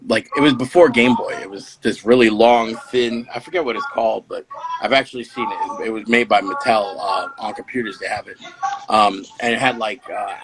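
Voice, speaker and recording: A man in his early forties chatting casually as a co-host in a group talk about gaming. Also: medium pitch. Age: early 40s. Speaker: podcast co-host. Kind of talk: casual group chat about video games. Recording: noisy background with clicks.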